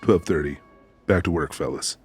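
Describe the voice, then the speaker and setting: A man's voice: sits low, moderate pace, soft background music, natural voice. A man playing a guarded, laconic lone-wolf older brother in an audiobook, terse and stoic. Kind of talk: audiobook character acting.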